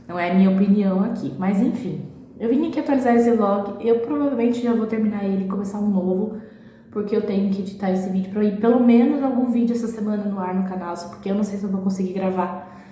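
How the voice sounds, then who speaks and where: A Brazilian woman chatting casually at home for a reading vlog, often ending sentences with a quick "right?". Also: mid-range voice, dark tone. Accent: Brazilian Portuguese. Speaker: book vlogger. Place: at home, echoey room. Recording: very echoey room, faint background hiss.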